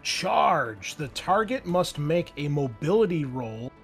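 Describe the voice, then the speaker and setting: A man's voice: fairly high pitch, sing-song, takes it slowly, vocal fry, a bit bright, faint music behind. A man acting as game master, improvising narration and explaining rules in a conversational, wry way. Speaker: game master of a tabletop RPG.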